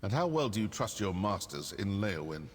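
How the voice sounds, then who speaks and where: An actor playing a suave, vengeful assassin in a video game, speaking in a measured, deliberate way. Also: male voice, on the low side, big pitch swings, slightly rough. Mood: suspicious. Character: suave, vengeful male assassin hunting a cult.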